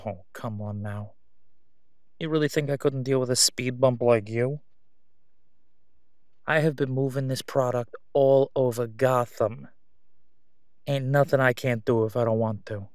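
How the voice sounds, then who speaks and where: A man acting a smug, sleazy crime boss in an audio roleplay, oily and taunting, with drawn-out, stalling phrases. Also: mid-range voice, steady tone, darkish tone, dry recording, studio quality, lightly acted. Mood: quietly threatening. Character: sleazy, menacing Gotham crime boss, a man, smugly haggling.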